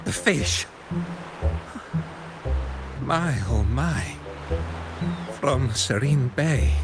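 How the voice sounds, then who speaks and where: A man playing a dazed, raving old boatman in a video game, muttering and rambling haltingly. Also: mid-range voice, lively intonation, over loud music. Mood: dreamy, raving. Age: older.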